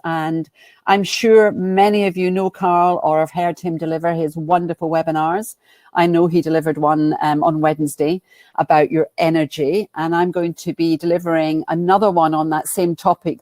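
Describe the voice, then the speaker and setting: A woman's voice: deep voice, darkish tone, dry recording. A woman from Scotland with a Glasgow accent, presenting a webinar as a business coach in a friendly, chatty way with frequent ums and restarts. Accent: Glaswegian Scottish. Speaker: guest speaker and business coach.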